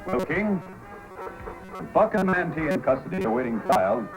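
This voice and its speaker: An actor playing a firm, courteous Yukon police sergeant in an old-time radio adventure drama. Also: male voice, sits high, sing-song, moderate pace. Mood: warm, earnest. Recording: old radio recording with loud music.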